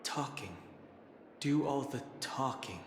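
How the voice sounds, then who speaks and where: A man voicing a cool, reserved, analytical companion in a video game, measured and literal-minded. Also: mid-range voice, expressive, reverberant, a little hiss or hum.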